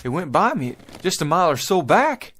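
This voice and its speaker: An actor playing a determined but bewildered pioneer father in a radio drama, with halting speech that repeats words. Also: fairly high pitch, sing-song, fairly bright tone. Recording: music under the voice.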